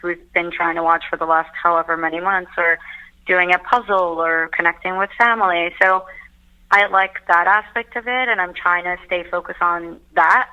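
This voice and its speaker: A woman from Turkey, chatting as a guest over a video call, upbeat and quick to laugh. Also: fairly low pitch, steady tone, pushed and bright, smooth. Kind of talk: casual chat over a call. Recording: tinny phone line.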